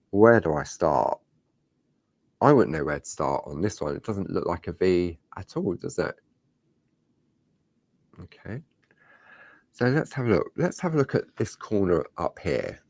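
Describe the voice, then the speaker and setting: Deep chatty craft host. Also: male voice, a little mellow, dry recording. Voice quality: slightly croaky. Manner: chatty, talks to himself while working. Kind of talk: step-by-step craft demonstration.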